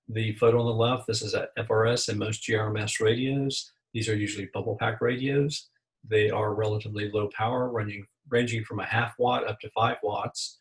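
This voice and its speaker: A man's voice: fairly low pitch, creaky, poor audio. An American man giving a slide presentation lesson, explaining things plainly and methodically as a hobbyist presenter. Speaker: amateur radio presenter.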